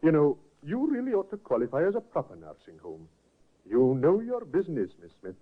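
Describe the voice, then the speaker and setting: An actor in a 1950s radio drama playing a calm, kindly doctor who hesitates now and then, heard through a tinny old broadcast. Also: male voice, a little high, fairly quick.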